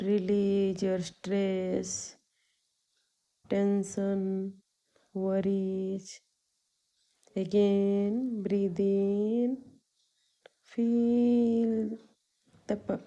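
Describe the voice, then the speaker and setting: Casting: female meditation guide, deeply mellow tone, extremely slow and calming delivery with long pauses. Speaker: meditation guide, yoga teacher. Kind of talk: guided meditation, spoken instructions.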